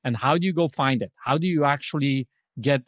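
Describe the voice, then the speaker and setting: A man's voice: mid-range voice, a little fast, no echo. A man hosting a podcast in an upbeat, emphatic, motivational way, often posing rhetorical questions as he introduces and interviews. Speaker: podcast host.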